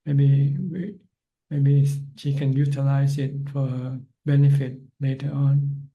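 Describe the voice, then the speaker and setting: A man from Thailand, a Buddhist monk, giving calm, plain spiritual advice over a video call. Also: mid-range voice, takes it slowly, narrow, tinny audio. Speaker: Buddhist monk answering questions.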